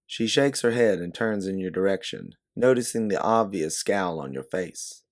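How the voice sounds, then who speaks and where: A man's voice: sits low, expressive, normal speed. A man narrating a scripted story in the second person, as its author and storyteller.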